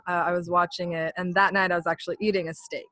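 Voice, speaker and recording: A woman's voice: lowish voice, flat delivery, fast, bright, forceful tone, dry recording. A woman from California, a podcast guest, telling her story earnestly in a casual interview.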